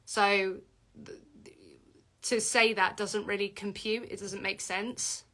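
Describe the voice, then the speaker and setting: A woman's voice: steady tone, takes it slowly, fairly bright tone. A woman hosting a casual livestream Q&A, reading out viewer comments and answering with many fillers and restarts. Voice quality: deep voice for a woman.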